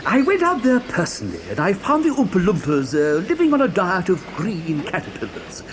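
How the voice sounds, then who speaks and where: A man's voice: sits high, huge pitch swings, brisk, background noise. A man playing an eccentric, gleeful chocolate factory owner in an audio dramatisation, effusive, stammering and theatrical. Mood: eager storytelling.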